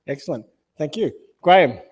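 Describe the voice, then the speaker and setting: A man's voice: a little high, animated, moderate pace, a little mellow, slightly rough. A man moderating a conference panel on stage, upbeat and encouraging with his guests.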